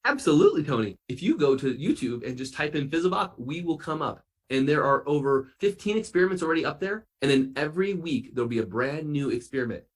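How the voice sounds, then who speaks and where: A man playing an excitable, wonder-filled science doctor for children, gushing and emphatic. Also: fairly high pitch, fast, hardly any pauses. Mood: eager, promotional. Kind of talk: kids' science show character.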